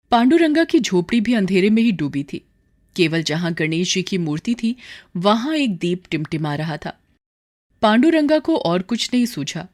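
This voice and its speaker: A woman's voice: fairly low pitch, darkish tone. A woman storyteller reading scripted dramatic narration for a moral story.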